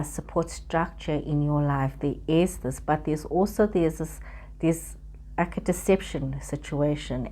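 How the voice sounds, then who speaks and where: A woman's voice: deep voice, warm tone. A woman giving a semi-improvised tarot reading straight to the viewer, circling back over her phrases as she searches for words. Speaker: tarot reader hosting her own channel.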